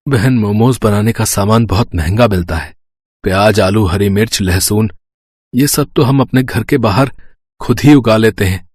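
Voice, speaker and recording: A man playing a poor, caring village working man in a cartoon story, earnest and plaintive, with a husky voice. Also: fairly low pitch, moderate pace, a little mellow, dry recording, clean studio sound. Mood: apologetic. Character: poor, self-pitying, caring young rickshaw man.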